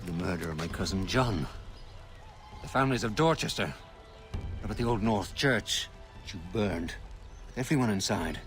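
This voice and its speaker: An actor playing an angry, distrustful colonial rebel leader in a video game, blunt and commanding. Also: male voice, on the low side, animated, moderate pace, fairly bright tone, soft background music. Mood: bitter anger. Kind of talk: dramatic video game acting.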